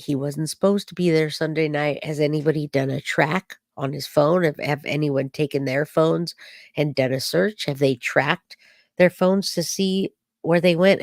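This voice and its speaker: A woman's voice: sits low, no echo. A woman talks to her live chat about a clip, probing and skeptical, full of rhetorical questions. Her voice is slightly off from dust and allergies. The sound is dry and close, with no room around it. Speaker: true-crime streamer. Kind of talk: live-stream commentary to chat.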